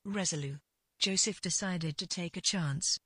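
A woman narrating literary fiction from a script in a measured way. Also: fairly low pitch, fairly bright tone, slightly rough, dry recording, studio quality.

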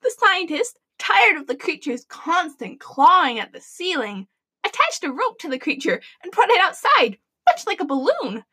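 A woman's voice: extremely high pitch, sing-song, moderate pace, sharp tone, full character voice. A woman playing an eager, theatrical government radio announcer in a comic dystopian audio drama. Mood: mock tragic.

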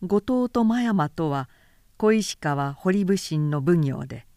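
A woman reading literary fiction as a narrator, with measured delivery and careful enunciation. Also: on the low side, expressive, warm tone, no echo, clean studio sound. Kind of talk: scripted literary audiobook narration.

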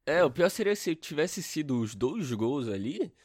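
A man's voice: fairly high pitch, very animated, moderate pace, a little mellow, husky, dry recording, clean studio sound. A young man co-hosting a casual football chat, rambling with restarts and slang. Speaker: podcast co-host.

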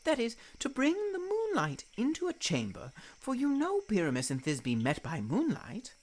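A woman's voice: on the high side, wildly swooping pitch, normal speed, darkish tone. A woman playing an earnest, fussy male director of an amateur troupe, expressively acted for a Shakespeare radio play.

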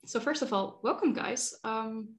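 A woman's voice: on the high side, unhurried, background noise. A woman hosting a podcast, chatting casually as she interviews her guests. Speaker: podcast host.

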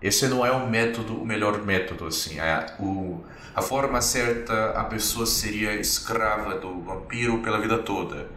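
Lowish bright-voiced man in an echoey room. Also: moderate pace, hardly any pauses, far from the mic, slight background noise. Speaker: game master. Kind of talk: narrating a tabletop game and voicing a vampire.

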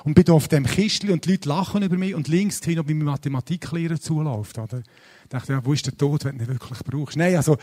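Chatty Swiss German pastor, fairly high voice. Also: male voice, fast. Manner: lively, chatty and self-deprecating, with frequent fillers. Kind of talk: sermon. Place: church service.

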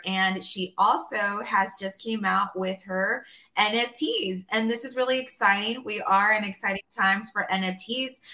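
A woman's voice: medium pitch, bright, forceful tone. A woman hosting a podcast who welcomes her guest in an upbeat way. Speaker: podcast host. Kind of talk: podcast interview.

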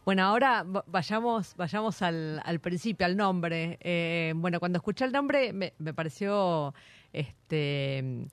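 A man's voice: sits high, takes it slowly, fairly bright tone, smooth, no echo. A man with an Argentine accent hosting a radio interview, asking long, rambling questions full of fillers. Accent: Argentine Spanish.

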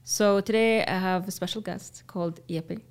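A woman's voice: medium pitch, steady tone, normal speed. A woman hosting a podcast, chatting casually and asking many questions. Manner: friendly, asks many questions. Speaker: podcast host. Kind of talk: casual interview chat.

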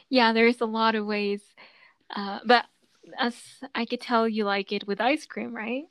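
Woman podcast host, higher voice, dry close sound, easygoing and talkative. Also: slow pace, no echo. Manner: chatty, explaining with many fillers. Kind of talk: casual chat.

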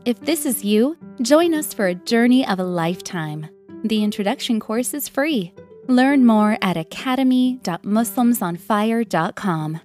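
A woman reading a scripted podcast intro and promo in a measured way. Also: on the high side, lively intonation, darkish tone, soft background music. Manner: slow, measured read. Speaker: podcast announcer.

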